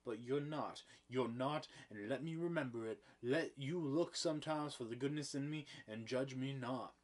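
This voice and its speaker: A man reading the part of an angry, guilt-torn middle-aged farmer, forceful and rising to shouts. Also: mid-range voice, normal speed, close to an everyday voice. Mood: pleading.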